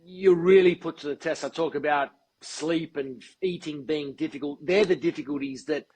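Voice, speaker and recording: A man being interviewed as a guest, speaking in a measured, articulate and deliberate way. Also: on the high side, a little mellow, husky, low-quality recording. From Australia. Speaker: guest, football TV presenter. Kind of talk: podcast interview.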